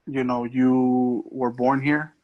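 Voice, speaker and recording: A young man from Mexico, a podcast guest, talking in a casual, hesitant way with many pauses and restarts. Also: mid-range voice, little pitch movement, phone-quality sound. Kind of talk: casual conversation.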